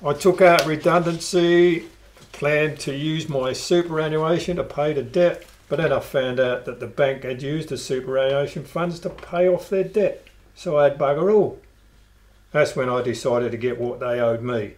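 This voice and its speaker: An actor playing a calm, cheeky bandit in an amateur radio play. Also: male voice, fairly high pitch, moderate pace, natural voice.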